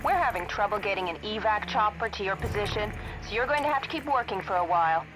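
A woman's voice: mid-range voice, expressive, fast, over music, rough recording. A woman playing a businesslike military dispatcher in a video game, heard over the radio with clipped, procedural delivery.

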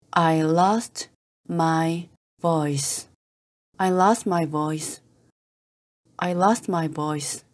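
Woman slowly drilling English phrases. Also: lowish voice. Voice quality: careful, clipped repetition. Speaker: English teacher. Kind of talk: slow drill reading of phrases.

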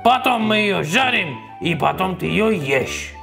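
A man's voice: sits high, expressive, a little slow, gravelly, a bit bright, soft background music, heavily acted. A man voicing a pushy, effusive toy snack cook with wheedling, sing-song sales patter. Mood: boastful, teasing.

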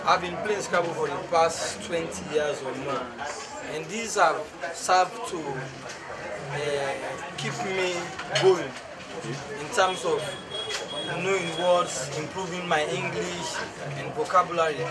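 Sierra leonean man being interviewed outside, pretty high voice, loud crowd all around. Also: slow pace, long pauses, rough recording. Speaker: sports association official being interviewed. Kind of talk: interview answers. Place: outdoor press conference in town. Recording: busy outdoor crowd noise behind.

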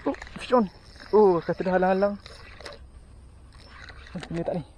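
A man's voice: high voice, moderate pace, a little hiss or hum. A young man with a Malaysian Malay accent, talking casually to camera while fishing by a plantation canal, muttering to himself and perking up when a fish bites. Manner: muttering to himself while casting, bursts of excitement on strikes. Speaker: fishing vlogger. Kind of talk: casual fishing vlog commentary. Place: outdoors by a canal in an oil palm plantation. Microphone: action camera worn on the body, then held at arm's length.

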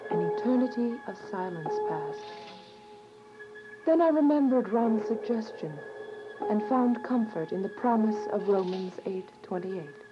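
A woman's voice: a little high, animated, unhurried. An actress playing a devout, frightened young woman on the run, in an earnest, dramatic radio drama style. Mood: solemn, tense. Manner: earnest, dramatic, literary delivery. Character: frightened, devout young woman fugitive telling her story. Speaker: narrator and lead actor. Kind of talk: dramatic first-person radio drama narration. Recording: dull, lo-fi audio with music behind.

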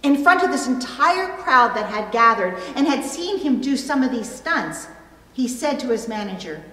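A middle-aged woman pastor preaching a sermon, phrasing deliberately and pausing for emphasis. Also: a little high, very animated, sharp tone. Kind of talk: sermon with illustrative stories. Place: church pulpit indoors, recorded from a distance. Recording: echoey hall, long reverb.

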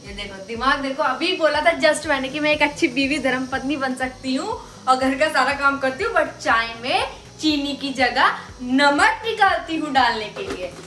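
A woman's voice: high voice, lively intonation, normal speed, bright, forceful tone. Playful young woman in an echoey kitchen. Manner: playful, chatty, self-mocking. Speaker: vlogger. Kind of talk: casual vlog chat to camera. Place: small home kitchen indoors. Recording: echoey kitchen with music underneath.

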